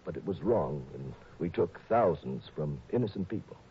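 An actor playing an anxious middle-aged stockbroker who is being blackmailed, fretful, pleading and refined, in a 1960s radio drama. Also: male voice, low voice, animated, normal speed, dull audio, slight background noise. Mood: ashamed.

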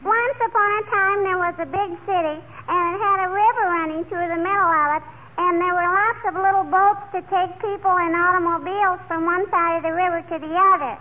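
A woman's voice: extremely high pitch, steady tone, fairly quick. A woman voicing a cheeky, pestering little American girl with a childlike, teasing manner in 1930s radio comedy. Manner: childlike, teasing, innocent-sounding. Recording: tinny 1930s radio broadcast with static.

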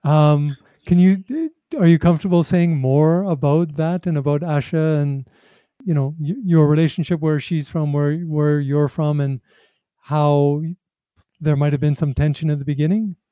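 Man, interviewer, very warm mellow timbre, clean and smooth, tentative careful phrasing, conversational, dry recording. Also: on the high side, steady tone, normal speed, no echo.

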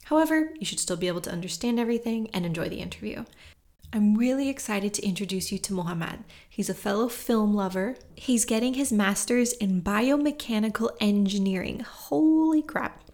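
A woman's voice: mid-range voice, expressive, brisk, a little mellow. A woman hosting a podcast, talking in a casual, chatty and reassuring way. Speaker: podcast host interviewing.

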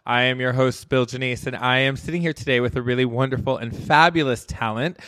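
An upbeat male podcast host from the Midwest, chatting warmly with his guest. His voice is mid-pitched and recorded close and dry, with no room sound around it. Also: a little fast, clear, resonant tone, no echo. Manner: upbeat, chatty, laughs often. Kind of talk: casual interview chat.

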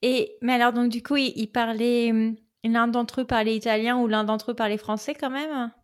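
A woman's voice: a little high, fairly even intonation, moderate pace. A woman hosting a podcast who asks casual follow-up questions and jumps in with short reactions. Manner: interjects with short reactions and laughs. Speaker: podcast host.

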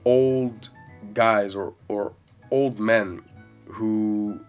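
A man in his twenties hosting a solo podcast, rambling casually and swearing freely in a loud voice with bass. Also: fairly even intonation, extremely slow, lo-fi sound. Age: 20s. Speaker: podcast host. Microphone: podcast mic. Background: soft jazz music.

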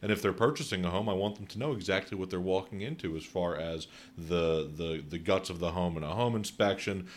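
Deep-voiced enthusiastic male host. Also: a little fast, few pauses, a little hiss or hum. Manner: enthusiastic, affirming interjections. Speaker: podcast host and realtor. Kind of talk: casual podcast interview.

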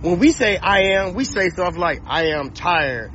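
A man hosting a street interview with a slightly high voice. He talks in casual slang and preaches encouragement to his guests. The sound is dull, with faint traffic behind him. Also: expressive, measured pace, a bit bright. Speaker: host. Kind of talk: on-location interview.

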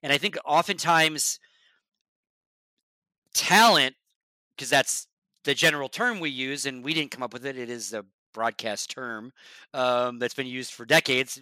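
A man talking shop in a casual podcast chat as a guest from esports broadcasting. He is blunt and emphatic, swears, and often sinks to a low, quiet voice. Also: unhurried, pauses often, no room sound, rough recording.

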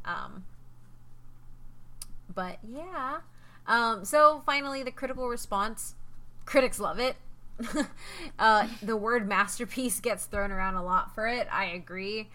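A woman's voice: fairly high pitch, takes it slowly, long pauses, sharp tone. A woman hosting a casual podcast, chatty and enthusiastic with frequent ums as she talks through facts about an anime. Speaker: podcast host.

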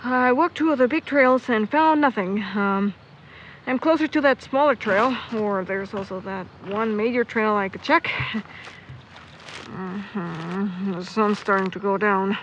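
A woman's voice: mid-range voice, unhurried, lightly acted. A young woman playing a stubborn, grumbling searcher in an audio drama, candid and wry as she thinks aloud. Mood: grumbling, musing. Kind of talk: audio drama monologue into a recorder. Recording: muffled, noisy outdoor-style ambience.

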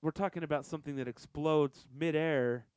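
A man's voice: on the high side, fairly even intonation, moderate pace, darkish tone, smooth, no room sound. A man co-hosting a casual podcast chat, blunt and scoffing, telling stories with stumbles and restarts. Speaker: podcast co-host.

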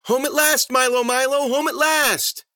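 A woman's voice: sits high, fairly even intonation, moderate pace, bright, forceful tone, dry recording, studio quality, pitched above the performer's own voice. A man voicing a childlike, murderous madwoman who cries out and chants in singsong rhymes for an audio story. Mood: gleeful. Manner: singsong rhyming, crying out.

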